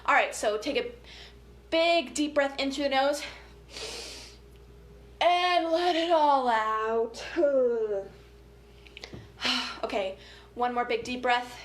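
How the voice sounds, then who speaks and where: A woman's voice: high voice, slow, long pauses. A woman hosting a casual, interactive story time for children, chatting in a playful, informal and encouraging way. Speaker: story time host, family educator. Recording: echoey room with light background noise.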